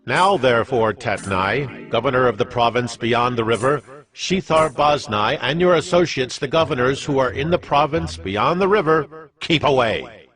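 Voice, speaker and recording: A man's voice: mid-range voice, expressive, moderate pace, fairly bright tone, natural voice. A man playing a commanding Persian king, proclaiming a decree in a stately, imperious way in a dramatized Bible reading. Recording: music bed under the voice.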